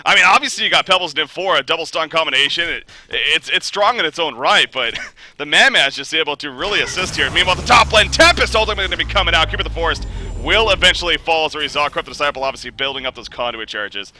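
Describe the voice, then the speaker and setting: Male esports caster, animated delivery, sharp bright tone, slightly raised pitch. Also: quick pace. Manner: excitable, joking, rising pitch on big plays. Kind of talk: play-by-play game commentary.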